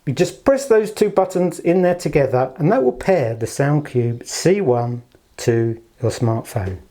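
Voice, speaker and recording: A man's voice: fairly high pitch, lively intonation, a little slow, mellow. A man giving a casual product demonstration in a polite, chatty way, recorded on a handheld camera as he presents. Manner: polite, chatty, fond of understated superlatives. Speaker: product presenter for an audio shop. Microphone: handheld camera held in one hand.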